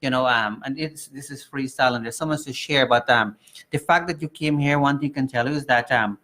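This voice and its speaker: A man's voice: mid-range voice, brisk, bright, forceful tone. A man from Guyana, hosting a casual live chat show and talking in a rambling way full of fillers and restarts. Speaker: host.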